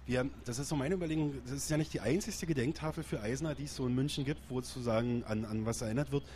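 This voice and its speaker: Mellow-voiced man interviewing on the street. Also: medium pitch, quick pace, slight background noise. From Berlin. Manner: probing, casual questions. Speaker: radio reporter, interviewer. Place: outdoors on a busy city square.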